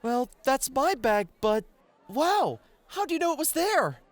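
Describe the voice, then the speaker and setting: Woman voicing an excitable, high-pitched teenage boy. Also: a little slow, no echo. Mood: friendly, trusting. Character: cheerful, excitable teenage boy card player. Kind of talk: audio manga dramatization.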